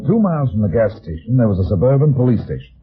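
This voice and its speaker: A man's voice: medium pitch, very animated, close to an everyday voice. An actor playing a wry, wary, heavyset private detective, narrating his case tersely in a radio drama. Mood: businesslike. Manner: deliberate, terse, dry storytelling. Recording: old phone-like radio recording, music behind.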